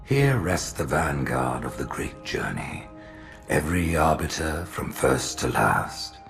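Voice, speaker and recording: Imperious old alien prophet for a game cutscene: extremely low, creaky, solemn, faint music underneath. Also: male voice, very animated, fairly bright tone, heavily acted. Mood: solemn, grave. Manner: slow, solemn, pompous. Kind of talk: cinematic science fiction game acting.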